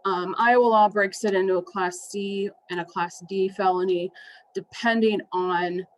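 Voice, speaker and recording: A woman's voice: lowish voice, a little hiss or hum, low-quality recording. A woman with an American accent presenting a legal training, speaking with ums and an occasional wry remark. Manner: wry, with brief laughs and ums. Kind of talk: slide-based legal training.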